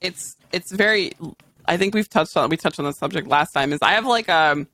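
A woman with a low voice that has a lot of bass, guesting on a podcast in loose, laughing, swear-filled chat. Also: fairly quick, a bit bright.

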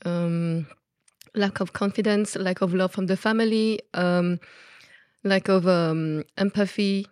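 A woman's voice: mid-range voice, steady tone, takes it slowly, a little mellow, clean tone, dry recording. Female podcast guest, native French speaker with a Belgian French accent, mid-range voice, candid casual explaining, dry recording. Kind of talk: casual conversational interview.

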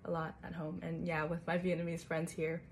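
A woman's voice: low voice, monotone, a little fast, creaky, a little mellow, a little hiss or hum. A young woman interviewing a fellow college student and asking curious, friendly follow-up questions in a casual way. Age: young adult.

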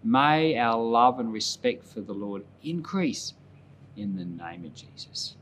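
A man's voice: mid-range voice, animated, slow pace. A male pastor with a mid-range voice shares Bible reflections in an informal, conversational way. He leans on key points by repeating phrases for emphasis. There is some background noise in the recording. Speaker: pastor and Bible podcast host. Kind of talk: informal Bible commentary and prayer.

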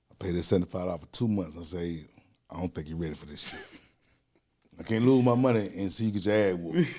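A middle-aged man chatting casually as a podcast host, telling animated stories and laughing often. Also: sits low, fairly quick, long pauses. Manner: animated storyteller, loud bursts when quoting himself, frequent laughter. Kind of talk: casual chat, storytelling.

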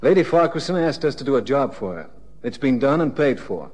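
A tough male private investigator in a 1960s radio drama. He talks curtly and plainly, with long reverb around his medium-pitched voice. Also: sing-song, fairly quick, a little mellow, breathy edge. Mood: unruffled, dismissive. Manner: curt, matter-of-fact.

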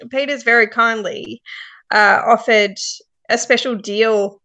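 A woman presenting a radio chat, friendly and quick to laugh. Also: on the high side, steady tone, sharp tone. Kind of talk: casual radio interview.

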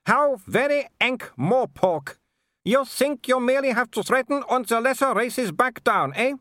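Man voicing a high, haughty werewolf baroness. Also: animated, unhurried, no echo, clean studio sound, heavily acted. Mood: haughty outrage. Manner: sharp, clipped, snapping delivery. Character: haughty aristocratic older werewolf baroness, a mother, sharp and scornful.

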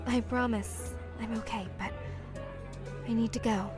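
A woman's voice: a little high, fairly even intonation, a little slow, over music, natural voice. A woman playing a guarded, evasive young mermaid in an audio drama, hesitant and defensive. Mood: hesitant, anxious. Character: guarded young female man-eating mermaid, evasive, prickly. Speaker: voice actor.

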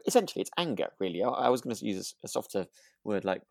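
Creaky-voiced man in relaxed, halting chat. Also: fairly low pitch, no echo, clean studio sound. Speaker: podcast co-host. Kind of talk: relaxed conversational discussion of a radio drama.

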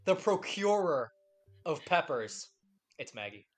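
A man's voice: a little high, sing-song, a little slow. Guy hosting a podcast, rambly and joking around, trips over his words a lot. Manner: rambling, playful, many restarts. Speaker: podcast host. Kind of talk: casual chat.

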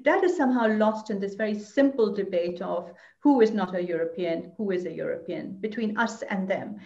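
A woman's voice: mid-range voice, normal speed, creaky, darkish tone, roomy sound, dull audio. A woman commentator answering interview questions thoughtfully, with many fillers and restarts. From Belgium.

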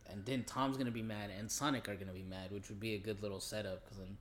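A man's voice: fairly low pitch, fairly even intonation, fast, roomy sound, slight background noise. A young man co-hosting a podcast, chatting casually in agreeable, slangy speech. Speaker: podcast co-host. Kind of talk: casual chat about geek movies.

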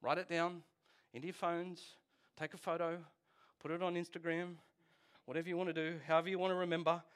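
Aussie pastor guy, 40s, kinda high voice, chatty jokey sermon, keeps checking in with the crowd. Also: flat delivery, brisk, a bit bright, clean tone. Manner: chatty, jokey, asks the audience questions. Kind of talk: conversational sermon.

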